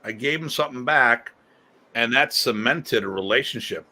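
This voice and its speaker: A man co-hosting a podcast in casual conversation, talking in an animated, emphatic way with casual swearing. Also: mid-range voice, moderate pace. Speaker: podcast co-host.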